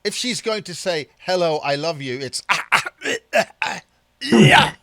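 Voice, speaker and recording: This is a middle-aged man with a Belfast accent, talking casually and a bit higher than most men. He rambles and jokes at his own expense. He often repeats himself as he gets started on a sentence. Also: expressive, a little slow, bright, forceful tone. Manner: joking, rambling, self-mocking. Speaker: host. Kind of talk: casual video-call chat.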